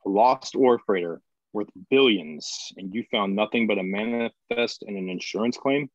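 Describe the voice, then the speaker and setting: Man acting gruff, demanding colony boss, dull lo-fi mic. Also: lowish voice, normal speed, no echo, lightly acted. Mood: grim. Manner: confrontational, demanding, profane. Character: gruff, foul-mouthed, suspicious male boss of a space colony. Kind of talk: sci-fi screenplay table read.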